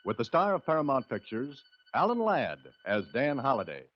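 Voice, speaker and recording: A man's voice: mid-range voice, wildly swooping pitch, moderate pace. A man performing as a formal, emphatic announcer of a 1940s radio adventure serial. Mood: grand, dramatic. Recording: muffled old radio recording, loud music.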